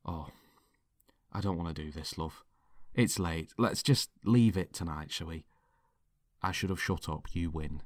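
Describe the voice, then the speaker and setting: A controlling boyfriend acted by a male storyteller with a deep voice in a dry recording. He talks in homely, colloquial phrases, cajoling and bickering by turns, mock-affectionate with indignant rage close underneath. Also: unhurried, dry recording. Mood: soft, placating. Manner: colloquial, calls listener love, cajoling then bickering. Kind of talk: dramatic monologue in an audio story.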